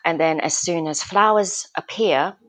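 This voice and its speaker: A woman with a low, warm speaking voice talks as a podcast guest about her garden. She grew up in Australia after a Malaysian childhood, and she chats casually, stumbling over restarts and breaking into laughter. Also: measured pace. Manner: chatty, hesitant, many restarts and laughs.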